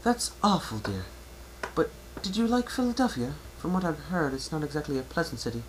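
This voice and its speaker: Casting: male reader as refined female cartoon pony, fussy and genteel, voice pushed high, noisy home recording. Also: big pitch swings, a little fast. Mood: sympathetic, curious. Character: refined, ladylike female pony boutique owner.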